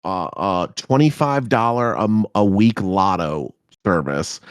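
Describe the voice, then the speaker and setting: A man in his thirties co-hosting a podcast, rambling loudly and swearing through casual banter. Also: deep voice, expressive, unhurried, smooth, dry recording, clean studio sound. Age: 30s. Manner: loud, rambling, swearing, jokey. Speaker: podcast co-host.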